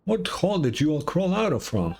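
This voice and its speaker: A man's voice: on the high side, wildly swooping pitch, a little fast, creaky, darkish tone, husky, full character voice. A man voicing a proud, prickly female master thief in a video game, brash and demanding. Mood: defiant.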